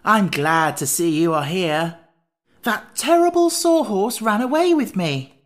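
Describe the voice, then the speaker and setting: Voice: mid-range voice, lively intonation, unhurried, voice pushed up, natural voice. A storybook reader voicing a naive, childlike pumpkin-headed wooden man who sounds earnest and plaintive. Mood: delighted.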